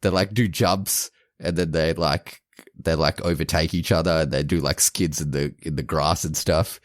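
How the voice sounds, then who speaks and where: Male podcast co-host, very low voice, dry close sound, casual and rambling film chat. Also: fairly even intonation, normal speed, no echo. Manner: informal, rambling, frequent "like". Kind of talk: casual movie review chat.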